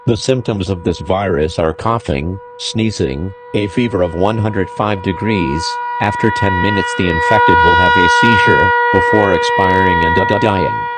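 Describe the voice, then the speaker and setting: A man's voice: low voice, normal speed, hardly any pauses, very noisy. A man delivering a scripted emergency broadcast announcement in a formal, terse, official manner.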